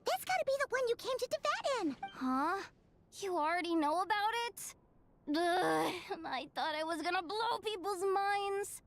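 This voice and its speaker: A woman's voice: extremely high pitch, normal speed, slight background noise, exaggerated voice. A woman voicing a tiny, childlike, bubbly female companion in a video game, exclamatory and dramatic. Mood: surprised, indignant. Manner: exclamatory, expressive, easily amazed.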